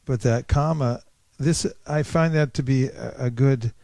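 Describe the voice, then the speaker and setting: A man leading a reflective group discussion in a thoughtful, halting way, often correcting himself as he goes. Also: medium pitch, vocal fry, darkish tone, no room sound. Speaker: discussion leader at a scripture class.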